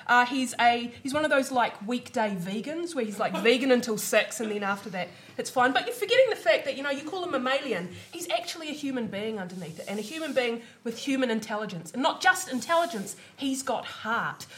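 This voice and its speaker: A woman's voice: a little high, expressive, a little fast, few pauses, a bit bright, a little hiss or hum. A woman arguing in a combative, mock-scornful way as a comedy panellist. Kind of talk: improvised mock debate. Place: live studio with audience.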